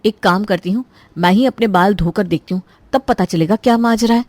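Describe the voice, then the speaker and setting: A woman's voice: mid-range voice, brisk, a little mellow, dry recording, close to an everyday voice. A woman voicing a meddling, dramatic young married sister-in-law in a Hindi cartoon story. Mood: baffled, upset.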